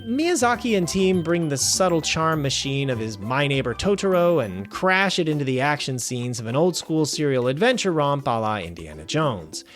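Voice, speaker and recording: A man hosting a film review show, reading a scripted review with wry, animated asides. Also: on the high side. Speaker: film review podcast host.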